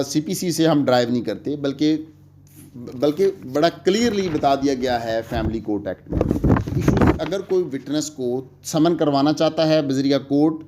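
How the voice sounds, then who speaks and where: Measured man lecturing on law. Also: a little high, mellow. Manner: measured, explanatory, mixes English legal terms into speech. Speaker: legal lecturer.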